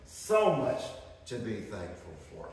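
A man's voice: on the low side, huge pitch swings, slow pace, gravelly, over a noisy crowd. A middle-aged man from the northern United States preaching a fervent sermon, with rising emphasis and storytelling asides. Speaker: pastor. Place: church sanctuary, indoors. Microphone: far camera, speaking at a lectern. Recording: echoey hall recorded from far off.

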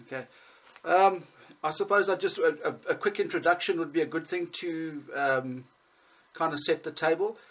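A man in his thirties with a Southern African accent, teaching Bible lessons over a video call, talking with many pauses and restarts. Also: on the high side, a bit bright, rough recording. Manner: hesitant, many ums and restarts.

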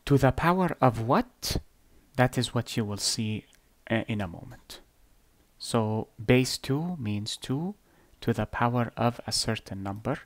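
Give me a man, an Arabic native speaker, explaining math lessons in an unhurried, deliberate, plain-spoken way. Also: mid-range voice, lively intonation, dry recording. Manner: slow, deliberate explaining with blunt asides. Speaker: math teacher. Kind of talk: screen-recorded whiteboard lesson.

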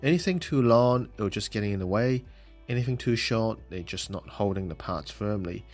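Low-voiced man explaining a hobby build. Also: lively intonation, normal speed, darkish tone, soft background music. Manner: plain, step-by-step explaining with frequent ums. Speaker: host of a model-building show. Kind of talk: hobby tutorial voice-over.

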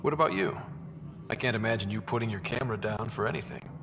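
An actor voicing a calm gravelly Pathfinder. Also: male voice, fairly low pitch, fast, big-room sound, noisy background, natural voice. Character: steady young male explorer leader, calm and modest. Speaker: Pathfinder, player character. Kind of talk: video game dialogue.

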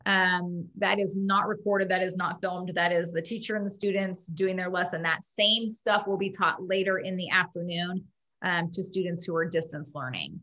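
A woman's voice: on the low side, fairly even intonation, normal speed, sharp tone. A woman school principal answering parents' questions at a video-call town hall, informal and thinking aloud.

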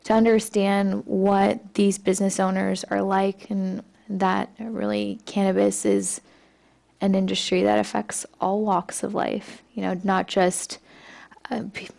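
A woman's voice: mid-range voice, little pitch movement, measured pace, vocal fry, darkish tone. A woman in her thirties speaking informally and encouragingly as a council member. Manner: encouraging, reassuring. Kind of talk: informal supportive remarks from the dais. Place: large council chamber, indoors. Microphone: gooseneck desk microphone.

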